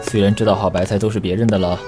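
A man's voice: lowish voice, level tone, rapid-fire, natural voice. A man playing a flustered, secretly smitten male shop owner in an audio drama, sighing and muttering to himself. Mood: wistful, grumbling. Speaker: voice actor in an amateur radio drama. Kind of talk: Chinese audio drama acting. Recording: music behind the voice. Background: background music.

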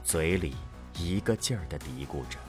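A man's voice: deep voice, animated, normal speed, soft background music. A man narrating an audio drama from a script in a grave, dramatic manner.

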